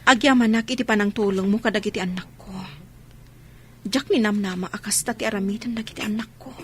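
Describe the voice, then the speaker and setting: A woman playing a heartbroken, gentle young mother in an Ilocano audio drama, speaking in a sorrowful, pleading way. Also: medium pitch, quick pace, a little hiss or hum, lightly acted. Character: heartbroken, gentle young mother leaving her marital home.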